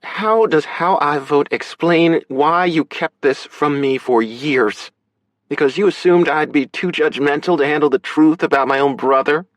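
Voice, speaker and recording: Audiobook big sister, played by a man: lifted pitch, frank, earnest, caring, emotionally open. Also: unhurried, dry recording, natural voice, poor audio. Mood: sharp. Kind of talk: audiobook character dialogue.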